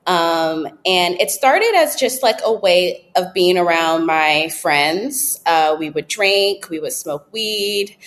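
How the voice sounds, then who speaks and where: A woman in her late twenties telling her story as a podcast guest, candid and relaxed, with frequent ums and likes. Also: fairly low pitch, takes it slowly, few pauses. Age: 20s. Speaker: podcast guest, app founder. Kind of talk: casual interview storytelling.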